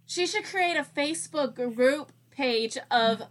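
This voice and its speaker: A woman's voice: high-pitched, expressive, slow pace. A woman co-hosting a podcast in casual chat, playful and teasing, swearing casually. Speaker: podcast co-host.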